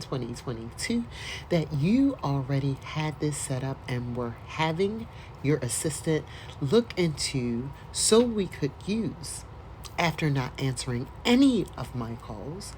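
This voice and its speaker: A woman's voice: sits low, a little mellow, a little hiss or hum, low-quality recording. An American woman doing a dramatic read-aloud with casual, mocking asides and exclamations, in a theatrical manner. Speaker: host doing a dramatic reading.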